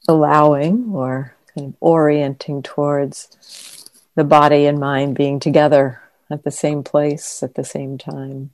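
A woman teacher leading a guided meditation in a gentle, unhurried manner, leaving long pauses. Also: low voice, flat delivery. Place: meditation center.

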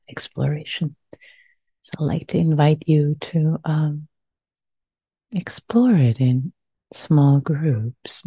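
A woman with a Persian accent, a meditation teacher speaking softly and slowly with many pauses and fillers, guiding a reflection. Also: low-pitched, breathy edge, no echo. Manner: soft-spoken, pausing, with frequent fillers. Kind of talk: guided reflection after meditation. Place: meditation center hall. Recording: muffled lo-fi recording.

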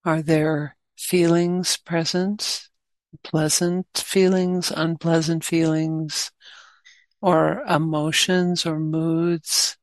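Woman meditation teacher, real slow, lowish voice, lots of pauses, dry close sound. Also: flat delivery, no echo. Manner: gentle, unhurried, long pauses between short phrases. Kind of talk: guided meditation.

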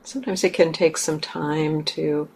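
A woman meditation teacher leading a guided meditation gently and haltingly, in short phrases with long pauses. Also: deep voice, fairly even intonation. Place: meditation center hall. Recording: clear background noise, room ambience.

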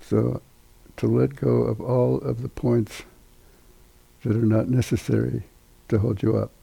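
An older man giving guided meditation instruction as a teacher, unhurried and halting, with long pauses. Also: mid-range voice, little pitch movement, creaky, dark tone, husky, no echo.

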